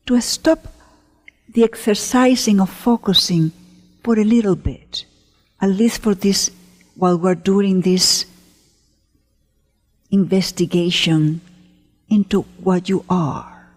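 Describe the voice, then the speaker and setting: A woman leading a guided meditation as a teacher, gentle and unhurried, pausing often between short phrases in a breathy voice. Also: mid-range voice. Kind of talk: guided meditation, spoken slowly to listeners. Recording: long hall-like reverb.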